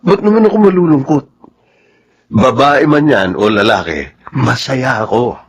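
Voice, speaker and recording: A man's voice: mid-range voice, lively intonation, a little slow, husky. An actor playing a devoted young soldier husband and new father in Filipino radio drama, tender and earnest. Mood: tender, reassuring.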